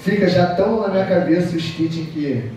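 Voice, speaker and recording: A Brazilian man in his thirties giving an informal technical talk, explaining haltingly with repeated words. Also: high voice, mellow. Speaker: conference speaker. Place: auditorium stage, seated at a table. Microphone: distant camera in the audience. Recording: echoey hall, distant mic, background noise.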